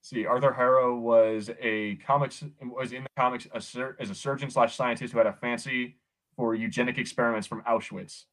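A man's voice: medium pitch, fairly even intonation, moderate pace, a bit bright, poor audio. A man co-hosting a podcast in casual pop culture banter, with a sweary, sardonic way of talking. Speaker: podcast co-host.

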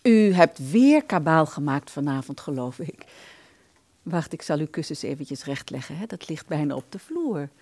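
An actress playing a hospital nurse in a radio drama, soothing and reassuring a patient in a coaxing, evasive way. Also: female voice, deep voice, animated, normal speed, a little mellow. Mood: brisk, fussing. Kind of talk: Dutch radio drama acting.

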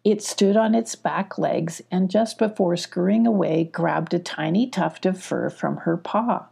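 A woman voicing a gentle, caring animal mother in a children's storybook, earnest and anxious. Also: on the low side, a little slow, creaky, husky, heavily acted. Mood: worried. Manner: earnest, worried.

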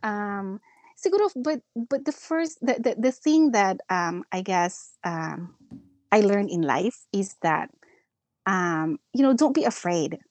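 A woman's voice: medium pitch, animated, slow, darkish tone, dry recording. An older woman with a Filipino accent, chatting as a podcast guest, reflective and full of fillers and restarts. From Philippines. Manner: reflective, many fillers and restarts, laughs easily. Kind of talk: casual interview chat mixing English and Filipino.

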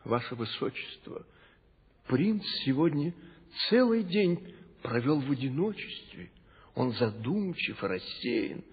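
A husky old court tutor. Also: male voice, medium pitch, sing-song, slow, heavily acted. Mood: worried, respectful. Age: older. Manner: respectful, anxious reporting. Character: old male tutor to a prince, devoted and worried. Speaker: court servant in a radio play. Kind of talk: classic radio play acting. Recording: tinny old recording, long reverb, music.

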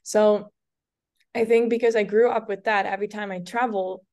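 A woman's voice: mid-range voice, steady tone, normal speed, darkish tone. A woman in her twenties from the Netherlands with a light Dutch accent, whose first language is Dutch, telling stories as a podcast guest with plenty of restarts. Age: 20s. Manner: chatty storytelling, many restarts and fillers. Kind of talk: casual podcast conversation.

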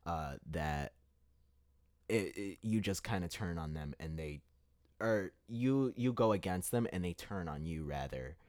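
A man's voice: deep voice, expressive, unhurried, a little mellow, dry recording, clean studio sound. A man hosting a podcast, delivering a heated opinion monologue with emphatic restarts. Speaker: podcast host.

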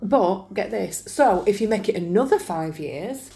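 Woman, Northern English accent, average pitch, casual podcast banter, playful, colloquial. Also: animated, unhurried, vocal fry, warm tone. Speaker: podcast co-host.

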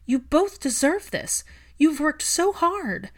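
A woman's voice: high-pitched, sing-song, slow, pitched above the performer's own voice, heavily acted. A woman narrator voicing a fiercely loving, hurt young woman in an audiobook, speaking with emphatic feeling. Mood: angry, resolute. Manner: emphatic, impassioned.

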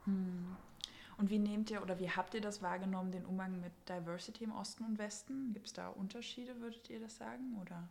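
A woman's voice: medium pitch, steady tone, brisk, creaky, a little mellow, a little hiss or hum. A woman asking casual questions as an interviewer.

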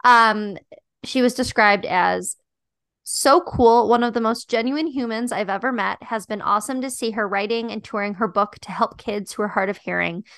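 Podcast co-host, young woman, high-pitched voice, reflective casual delivery, dry close sound. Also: moderate pace, fairly bright tone, no echo. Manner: thoughtful, reflective, many "like" and "um" fillers. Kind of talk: casual chat about a dating show.

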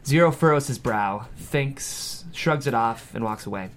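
A man's voice: mid-range voice, unhurried, a bit bright, a little hiss or hum. A man reading scripted screenplay stage directions as the narrator of a table read.

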